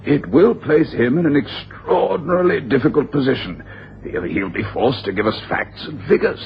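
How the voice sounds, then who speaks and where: An anxious middle-aged gentleman in a radio play. He is hesitant and placating, repeating himself with lively pitch. The broadcast sounds dull, with faint noise. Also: normal speed, gravelly. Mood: urgent, reasoning. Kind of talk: 1980s radio drama acting.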